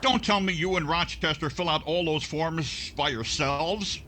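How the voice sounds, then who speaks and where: A man's voice: on the high side, measured pace, background noise, lightly acted. A man playing a cheerful, hearty announcer sidekick in a jovial 1940s radio comedy style.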